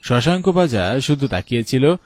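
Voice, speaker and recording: A man narrating a scripted horror story in a measured, solemn manner. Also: medium pitch, sing-song, dry recording. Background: music bed.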